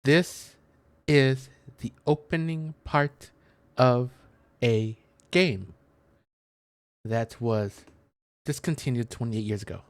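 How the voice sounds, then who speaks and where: A man's voice: mid-range voice, slow, long pauses, slight background noise. A man playing a game as a streamer, talking through it aloud in let's play style. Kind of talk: casual let's play commentary. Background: game music.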